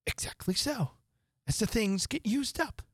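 A woman voicing an excitable, mournful male hatter who rambles theatrically. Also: on the high side, breathy edge, no echo, clean studio sound, voice pushed up, full character voice. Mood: whimsical. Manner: theatrical, rapid swings from chatter to gloom.

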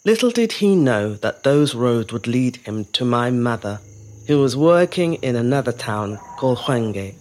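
An actress playing a reflective middle-aged woman born in Zimbabwe, telling her family's story in a measured, lyrical scripted monologue. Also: rumbling low, very animated, a little mellow, exaggerated voice, poor audio. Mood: tender, reflective. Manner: measured, lyrical, deliberate phrasing. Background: music.

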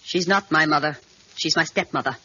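An actress playing a cold, oddly composed, insistent daughter in a murder case, in 1950s radio drama style with clipped delivery. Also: female voice, low-pitched, brisk, sharp tone, slight background noise. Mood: flat, insistent. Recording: dull lo-fi 1950s radio recording.

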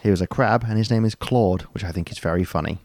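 A man with a very low voice rambles through a casual story, filling pauses with ums and tossing in jokey asides. The sound is dry and close, with no room around it. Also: darkish tone, no echo. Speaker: podcast co-host. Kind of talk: casual chat.

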